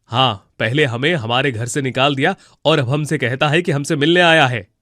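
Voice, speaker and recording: A man's voice: medium pitch, moderate pace, fairly bright tone, no echo, studio quality, lightly acted. An actor playing a stern, indignant elderly husband and father in a cartoon moral story, scolding and reproachful. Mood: angry, distrustful.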